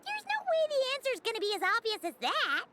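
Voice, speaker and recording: A woman voicing a tiny, childlike, excitable floating companion in a video game, squeaky and exclamatory. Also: quick pace, very noisy, exaggerated voice. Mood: puzzled, sceptical. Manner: exclamatory, speaks of herself in third person. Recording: clean studio voice under loud music. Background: loud game music.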